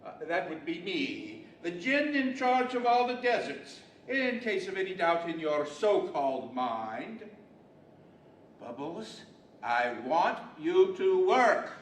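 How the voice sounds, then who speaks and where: An echoey, distant stage recording of an older man as a pompous djinn who rules the deserts. He speaks high and slowly, scolding like an imperious boss in old-fashioned radio theater. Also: sing-song, heavily acted. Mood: stern, scolding. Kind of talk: 1940s-style radio theater acting. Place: large library event room, live stage. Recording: distant, echoey live hall with faint noise.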